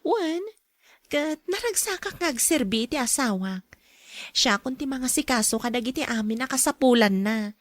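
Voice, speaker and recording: An actress playing a devoted, calm young wife in an audio drama, with an Ilocano Filipino accent. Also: female voice, high-pitched, moderate pace, no echo. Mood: contented.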